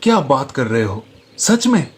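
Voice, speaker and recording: A man voicing a frank, opinionated village barber, a familiar friend, in an animated storybook tale. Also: mid-range voice, unhurried. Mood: curious.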